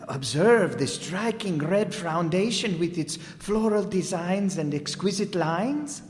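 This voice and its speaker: A man's voice: sits high, expressive, slow pace. Man playing an obsequious, singsong rug dealer. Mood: eager, fawning. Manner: unctuous, formal, deferential. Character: thin, impeccably mannered, obsequious Persian Gulf rug dealer. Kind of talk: old-time radio detective drama acting. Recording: reverberant hall recording.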